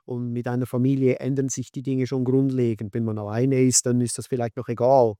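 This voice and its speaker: A middle-aged man with a Swiss German accent, talking casually in an interview and often pausing with fillers and restarts. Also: mid-range voice, flat delivery, mellow, no echo, studio quality. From Switzerland. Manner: hesitant, many fillers and restarts. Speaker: guest, skate shop owner and magazine maker.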